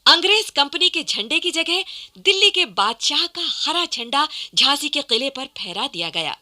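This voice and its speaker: A woman narrates a historical radio programme in dramatic scripted style. Her voice is high and very bright, with sweeping, expressive intonation. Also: normal speed.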